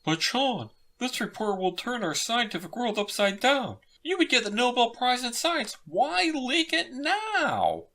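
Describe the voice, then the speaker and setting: Woman playing a baffled male reporter, pitched up high. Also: sing-song, a little slow, hardly any pauses, a bit bright, exaggerated voice. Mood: baffled, incredulous. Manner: questioning, disbelieving. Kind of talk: storybook character reading.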